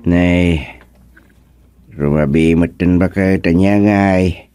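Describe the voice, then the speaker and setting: An actor playing a talkative, comic village man in a radio comedy drama, with exclamatory, drawn-out delivery. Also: sits low, steady tone, mellow, clear, resonant tone, soft background music. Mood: earnest.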